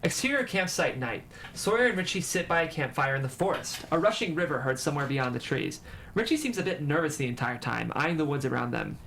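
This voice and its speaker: A man's voice: fairly high pitch, expressive, fairly quick, vocal fry, a bit bright, a little hiss or hum. A man reading stage directions as narrator at a table read, with dramatic storytelling delivery.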